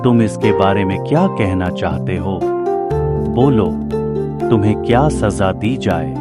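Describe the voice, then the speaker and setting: A man voicing a stern, deliberate village elder passing judgment in a cartoon story. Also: on the low side, lively intonation, loud music behind the voice. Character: stern older male village elder judge.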